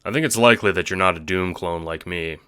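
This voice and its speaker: A man acts out a laid-back teenage boy in an audio drama. His voice is low and bright-edged, recorded very dry. He talks in terse, casual slang with a deadpan cool. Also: fast, clear, resonant tone, no echo. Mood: cool, matter-of-fact.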